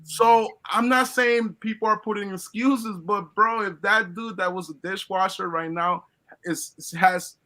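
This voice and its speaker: A man's voice: high-pitched, fairly even intonation, unhurried, pushed and bright, poor audio. A man from Colombia joins a live stream to lay out his views in earnest, restarting his sentences as he goes. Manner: earnest, lecturing, many restarts. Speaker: guest caller. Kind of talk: casual opinion talk.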